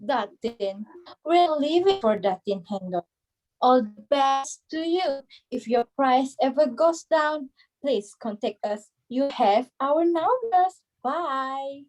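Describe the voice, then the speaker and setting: Lady doing a smug gossipy society woman, high voice, talks slow, sure of herself. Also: lively intonation, darkish tone, poor audio. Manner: gossipy, self-assured, dismissive. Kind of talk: dramatised reading.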